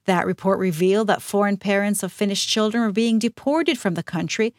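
A woman co-hosting a news podcast, reading scripted links clearly and evenly. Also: medium pitch, a little fast, dry recording, studio quality.

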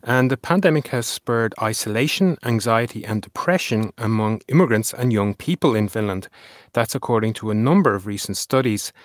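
Irish man, low-pitched, dry studio read, news co-host. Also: expressive, normal speed, no room sound. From Ireland. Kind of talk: scripted news reading and casual banter.

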